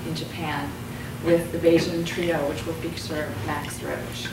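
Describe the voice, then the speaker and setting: A woman's voice: sits low, little pitch movement, measured pace. A young woman introducing a guest from a podium, upbeat as she reads a list of credits. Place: hall with a podium. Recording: roomy distant hall recording with noise.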